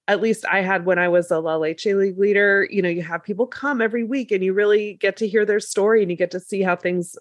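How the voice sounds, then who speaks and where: Slightly gravelly American woman podcast co-host. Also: mid-range voice, dry recording. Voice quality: slightly gravelly from a recent flu. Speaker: podcast co-host and lactation consultant. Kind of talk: casual podcast conversation.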